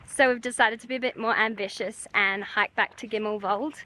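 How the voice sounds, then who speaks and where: A woman's voice: mid-range voice, steady tone, a little fast, bright, forceful tone. A woman in her twenties chatting casually as a travel vlogger, with an informal, gushing and playful manner. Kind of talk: casual vlog chat. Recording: music playing under the voice. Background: music.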